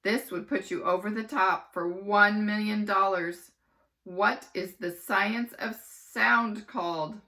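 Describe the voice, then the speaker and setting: A woman reading aloud and voicing a loud, excitable male TV game show host with booming showman patter. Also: slow pace. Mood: dramatic.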